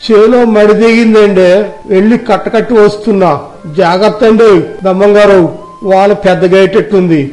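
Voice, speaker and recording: A man's voice: high voice, fairly even intonation, moderate pace, darkish tone, close to an everyday voice, poor audio. A man playing a friendly, deferential village farmer with a rustic, respectful manner in a dramatized story, music behind him. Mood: respectful, concerned.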